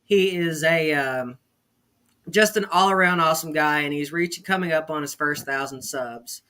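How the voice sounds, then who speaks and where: A man's voice: a little high, moderate pace, pushed and bright, clean tone. A man hosting a casual online interview, upbeat and chatty with plenty of fillers. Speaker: interview host.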